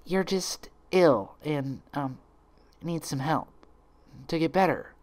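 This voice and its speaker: A shy young pegasus mare from a cartoon fan story, acted by a man. She speaks softly and hesitantly, in a raised, whispery voice. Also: fairly high pitch, animated, takes it slowly, pitched above the performer's own voice. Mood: nervous, reluctant. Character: timid, soft-spoken young female pegasus.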